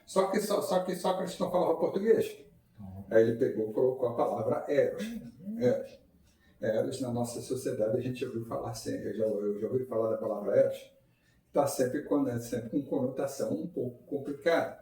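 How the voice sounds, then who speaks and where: A man's voice: mid-range voice, normal speed, warm tone. Man lecturing in an echoey hall. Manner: conversational, asks the audience rhetorical questions. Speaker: lecturer at a spiritist center. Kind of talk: informal public lecture. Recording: echoey hall, mic far off.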